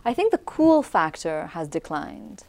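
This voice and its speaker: A woman who grew up in Syria, answering as an interview guest in an articulate, measured, reflective way. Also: on the low side, very animated, darkish tone. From Syria, grew up in Damascus. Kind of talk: conversational commentary on culture.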